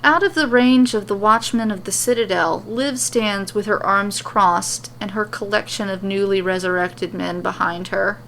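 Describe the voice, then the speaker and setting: A woman storyteller reading scripted fiction in an expressive narration style. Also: medium pitch, normal speed, vocal fry, hardly any pauses, a little hiss or hum, low-quality recording.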